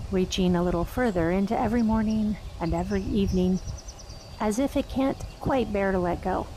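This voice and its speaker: Slow, gravelly-voiced woman meditation guide. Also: medium pitch, a little hiss or hum, low-quality recording. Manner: slow, soft, unhurried with long pauses.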